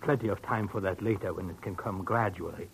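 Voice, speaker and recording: An actor playing a kindly, fatherly doctor in a vintage radio drama, gentle and reassuring. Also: low voice, brisk, creaky. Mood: soothing, grave. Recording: old radio recording, faint noise.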